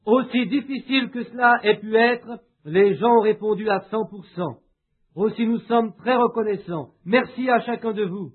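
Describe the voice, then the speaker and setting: A man with a notably high voice delivers a sermon and a prayer. He reads in a measured, unhurried way and pauses often. The recording is thin and tinny, like a phone line. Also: husky. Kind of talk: sermon read aloud, with a spoken prayer.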